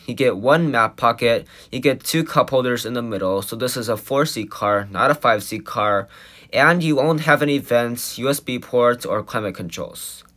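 A man's voice: mid-range voice, a bit bright, no echo. A man reviewing a car, listing its features in a plain, matter-of-fact way as he walks around and sits in it. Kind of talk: walkaround car review narration.

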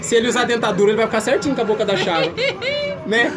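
Fast-talking comic co-host over loud music. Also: medium pitch, over a noisy crowd. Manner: joking, repeats phrases. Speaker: radio show co-host. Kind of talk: casual comic banter on a live show.

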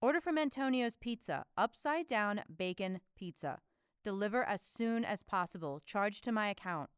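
A sardonic, weary woman in a sci-fi audio drama, acted by a woman. Her middle-pitched voice is expressive and closely recorded, with a guarded edge of sarcasm. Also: moderate pace, clear, resonant tone, no echo, clean studio sound, lightly acted. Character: guarded, sardonic working woman with cybernetic arm.

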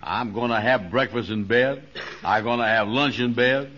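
A man's voice: medium pitch, normal speed, fairly bright tone, natural voice. An actor playing a boastful, naive, self-important man with folksy, ungrammatical delivery in a vintage radio comedy. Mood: stubborn. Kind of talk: 1940s radio comedy acting. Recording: dull vintage radio recording.

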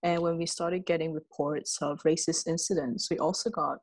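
A young woman on a video call through wired earphones, speaking low in her range. Her voice is husky and gravelly, and the sound is dry and close. She thinks aloud in a relaxed way. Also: moderate pace, dry recording. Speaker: community organizer. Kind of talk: panel discussion over video call. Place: room with yellow walls indoors.

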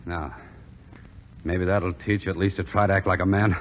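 Exasperated young male cadet, played by an actor, fairly low voice, slangy scolding, dull vintage radio sound with faint noise. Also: level tone, normal speed, natural voice. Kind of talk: 1940s radio drama acting.

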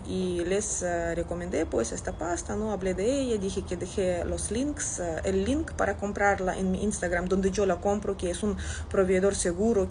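A woman's voice: mid-range voice, a little mellow, a little hiss or hum, rough recording. A woman in her thirties from Russia, with a Russian accent, chatting casually to camera as she replies to comments. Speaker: social media content creator. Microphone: phone at arm's length.

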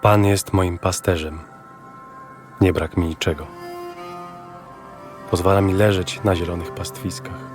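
A man reciting scripture in a solemn, measured and reverent manner, as a reader for an audio Bible. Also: low-pitched, fairly even intonation. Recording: music bed under voice.

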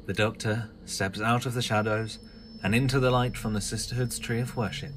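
A man's voice: mid-range voice, steady tone, normal speed, background noise. A man reading scripted narration for an audio drama.

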